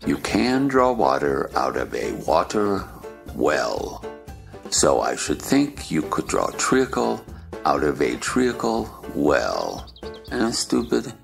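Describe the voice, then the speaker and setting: Rude, curt eccentric man for a storybook play, male actor: rumbling bass, crackly, animated. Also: slow, gravelly, soft background music, exaggerated voice. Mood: contrary. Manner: curt, needling. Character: rude, contrary tea-party guest, adult man. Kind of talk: stage play reading.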